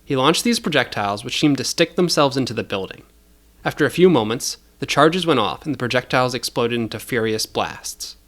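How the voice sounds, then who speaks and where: A man's voice: mid-range voice, animated, brisk, fairly bright tone. A man narrating scripted fiction as a storyteller, in a dramatic style.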